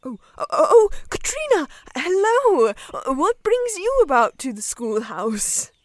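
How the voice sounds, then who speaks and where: Flustered, high-voiced lovestruck schoolmaster. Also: male voice, huge pitch swings, takes it slowly, dry recording, studio quality, heavily acted. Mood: flustered delight. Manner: flustered, stammering. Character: nervous, lovestruck, awkward young schoolmaster. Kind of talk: audio drama acting.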